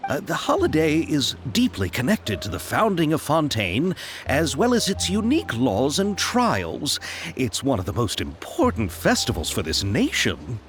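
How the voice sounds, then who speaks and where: A chatty, courteous producer. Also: male voice, mid-range voice, wildly swooping pitch, normal speed. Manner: courteous, wordy, given to nervous laughs. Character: polite, eager young male film producer. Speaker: game character. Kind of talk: video game voice acting. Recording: game audio with soft music.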